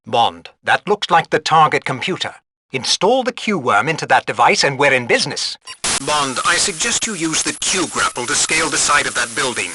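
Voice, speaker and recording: An actor playing a fussy British gadget master in a spy video game, speaking formally in a clipped, dryly exasperated way. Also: male voice, fairly high pitch, sing-song, moderate pace, bright, forceful tone, a little hiss or hum. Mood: brisk, instructive. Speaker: mission support quartermaster. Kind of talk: video game mission briefing voice acting.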